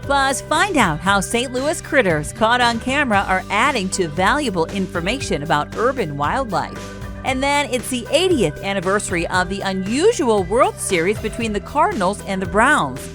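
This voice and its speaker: A woman's voice: medium pitch, very animated, normal speed. A woman hosting a TV magazine show, reading a scripted introduction. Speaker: show host. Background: music.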